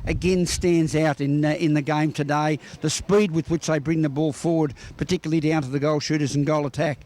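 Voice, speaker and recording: An Australian man working as a sports reporter, asking halting, wordy questions in a post-match interview. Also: on the high side, fairly even intonation, darkish tone.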